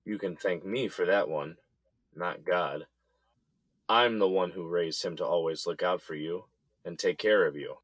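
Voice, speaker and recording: Actor as jailed dad, proud and grieving, low husky voice, pointed delivery, radio drama. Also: male voice, measured pace, fairly bright tone, no echo. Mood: curt, resentful. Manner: pointed, rhetorical. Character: bitter, grieving, proud father jailed and facing ruin. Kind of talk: dramatic audio drama acting.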